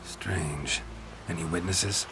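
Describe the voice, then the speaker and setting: A very low, gravelly male voice for a stoic fantasy game monster hunter. He speaks tersely with deadpan dry humour, over clearly audible background ambience. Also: takes it slowly, noisy background. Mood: businesslike. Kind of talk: video game voice acting.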